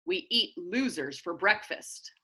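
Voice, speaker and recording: A snobbish rich schoolboy played by a woman reader, haughty and demanding. This storybook character sounds smug, with a bright tone and swooping pitch. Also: lively intonation, slow pace, full character voice.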